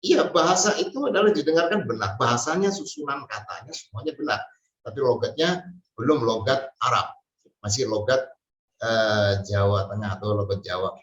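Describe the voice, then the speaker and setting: A man from Central Java, giving an Arabic language lesson slowly and hesitantly, with many fillers. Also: mid-range voice, fairly bright tone. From Central Java, Indonesia. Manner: slow, hesitant, with many fillers and drawn-out pauses. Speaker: Arabic teacher. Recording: distant mic, roomy and dull sound.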